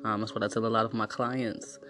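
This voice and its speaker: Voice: medium pitch, little pitch movement, a little fast, fairly bright tone, faint music behind. A guest from Illinois in casual conversation, speaking in an encouraging, affirming way. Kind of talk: casual chat.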